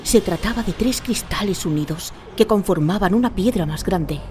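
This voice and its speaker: A woman's voice: medium pitch, moderate pace, a little mellow, a little hiss or hum. A woman narrating a fantasy radio drama in a dramatic, scripted storytelling style. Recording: music behind the narration.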